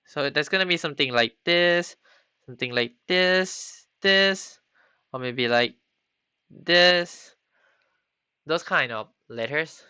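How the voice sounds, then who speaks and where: A man teaching a beginner Japanese class on a live stream, halting often with ums and restarts. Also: fairly high pitch, expressive, pushed and bright, clear, resonant tone, no room sound. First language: not Japanese. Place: home computer setup. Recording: slightly dull lo-fi stream audio.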